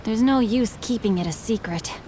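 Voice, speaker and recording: A woman's voice: fairly high pitch, normal speed, dark tone, a little hiss or hum, close to an everyday voice. A woman voicing a polite young male swordsman and author who speaks in a formal, earnest way. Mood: sheepish.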